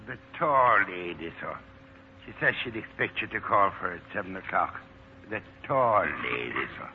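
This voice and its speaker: A strange little servant in a 1940s radio drama. He speaks quietly and formally in a lowish voice. It comes from a reverberant distance on thin, tinny old radio. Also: sing-song, moderate pace, a little hiss or hum. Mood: deferential. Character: Horace, strange little deferential manservant.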